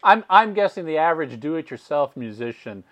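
A man's voice: on the high side, big pitch swings, normal speed, a bit bright, no room sound. A man hosting a podcast, eagerly chiming in with stumbling, repeated starts to his sentences. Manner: enthusiastic, repeats words when starting sentences. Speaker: podcast host. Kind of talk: casual podcast interview.

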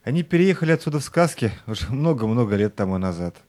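A man's voice: mid-range voice, sing-song, fast, mellow, lightly acted. A man playing a sceptical father in an audio fairy-tale play, with a dismissive manner.

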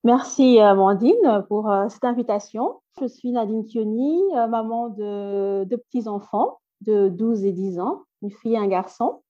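A woman's voice: medium pitch, warm tone. Woman guest, dull lo-fi sound. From Belgium. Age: 30s. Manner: halting, frequent fillers and self-corrections. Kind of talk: interview answers.

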